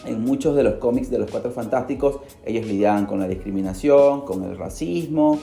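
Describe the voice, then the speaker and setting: Man, theatrical podcast storyteller, soft music underneath, echoey studio. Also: fairly quick, gravelly. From Peru. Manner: theatrical, addresses listener directly, swings pitch for emphasis. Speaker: podcast host. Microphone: studio microphone.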